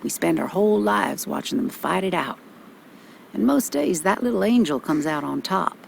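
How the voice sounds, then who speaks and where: A woman's voice: lowish voice, sing-song, normal speed, creaky, a little hiss or hum, full character voice. An actress playing a motherly older small-town policewoman in a video game, folksy and reassuring. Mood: philosophical.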